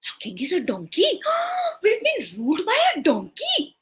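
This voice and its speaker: A woman voicing excitable storybook townsfolk in an exclamatory manner. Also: extremely high pitch, measured pace, full character voice. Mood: shocked. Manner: gasping, exclamatory. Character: excitable gossiping townsfolk, easily shocked.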